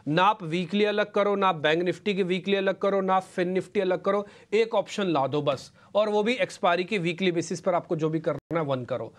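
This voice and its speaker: A middle-aged man speaks as a market analyst in a TV news studio, his voice sitting a little high for a man. He talks emphatically, building points with rhetorical questions and sprinkling English terms into his Hindi. The sound is close and clean, as if from a lapel mic. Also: normal speed, few pauses. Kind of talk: explaining to an anchor on live TV.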